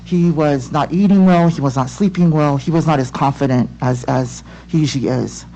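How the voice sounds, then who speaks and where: A woman from Tonga speaking as a parent in public comment at a school board meeting, earnest and halting, with many restarts. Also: low-pitched, mellow, lo-fi sound, slight background noise. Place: home room on a video call. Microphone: laptop or webcam mic on video call.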